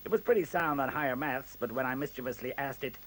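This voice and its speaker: A man's voice: medium pitch, fast talker, fairly bright tone, natural voice. An actor in a radio play voicing a conceited male professor with a blunt, dry and precise manner. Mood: wry. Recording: faint hiss on old radio recording.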